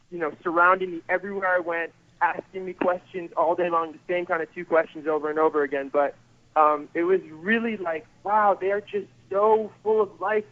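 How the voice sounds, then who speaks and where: A man in his thirties, a photographer guest, talking earnestly and rambling a little, repeating words as he goes. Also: fairly high pitch. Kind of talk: casual interview over the phone. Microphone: phone. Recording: tinny phone line.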